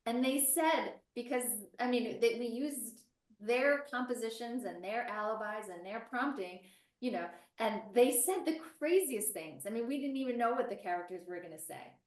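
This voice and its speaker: A woman teacher, a guest on a webinar, telling an animated story about a class project with many restarts. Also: on the high side, normal speed. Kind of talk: casual webinar chat. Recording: roomy and distant from the mic.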